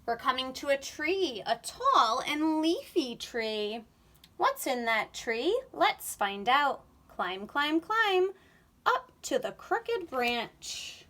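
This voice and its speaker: Animated, high-pitched woman reading to children. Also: takes it slowly. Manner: animated, sing-song, expressive delivery. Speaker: read-aloud storyteller for young children. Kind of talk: children's picture book read-aloud.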